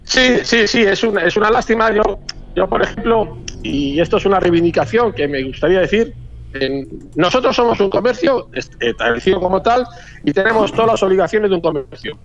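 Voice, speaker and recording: A man in his forties from Madrid with a Castilian Spanish accent, a hotel owner giving a chatty, halting radio interview full of repeated words. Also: high-pitched, expressive. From Madrid, Spain. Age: 40s. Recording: dull lo-fi radio audio.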